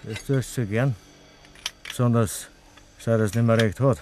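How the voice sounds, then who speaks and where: A man's voice: medium pitch, steady tone, a little slow, background noise, close to an everyday voice. An actor playing a grumbling, insinuating village tradesman in a Bavarian dialect radio play. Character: grumbling, suspicious village tradesman spreading rumours.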